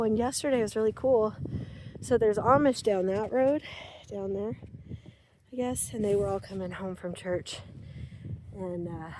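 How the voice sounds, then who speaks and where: A woman's voice: mid-range voice, background noise. A woman telling a rambling, chatty story outdoors in the snow, a little short of breath from the cold. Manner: rambling, chatty, with many fillers and quoted asides. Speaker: vlogger. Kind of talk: casual outdoor vlog storytelling. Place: outdoors on a snowy rural property.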